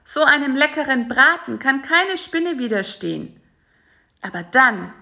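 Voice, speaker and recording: Storybook spider, male, heavy, calm, woman voicing, bright, gravelly. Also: a little high, pitched above the performer's own voice, heavily acted. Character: fat male spider, gruff but softening.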